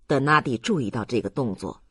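An audiobook narrator reading a classic novel in an expressive, scripted storytelling style. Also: medium pitch, a little fast, breathy edge, no room sound, clean studio sound.

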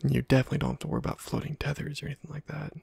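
Male game host for ASMR: slightly high-pitched, very husky, whispered, offhand commentary. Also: lively intonation, racing, dry recording. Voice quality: breathy, whispery, soft-spoken. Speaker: gaming video host.

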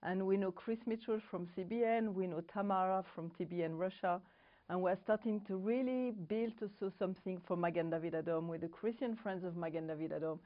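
A woman's voice: on the low side, highs cut off. A middle-aged French woman with a French accent, co-hosting a TV programme and talking casually to camera, searching for words now and then. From France. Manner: halting, searching for English words. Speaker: co-host. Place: studio set with bookshelves. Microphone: lapel.

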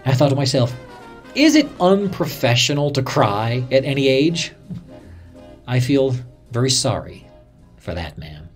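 A man's voice: mid-range voice, very animated, a little slow, pauses often, soft background music. A man playing a radio newsman who earnestly recalls his career in a dramatised first-person recollection. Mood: indignant, reflective.

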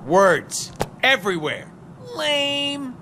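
An actor voicing a manic, foul-mouthed, wisecracking mercenary antihero in a video game, brash and goofy. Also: male voice, extremely high pitch, big pitch swings, extremely slow, background noise, heavily acted. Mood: sardonic. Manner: brash, goofy, swooping from mutters to shrieks. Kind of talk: video game cutscene voice acting. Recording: loud music and effects behind.

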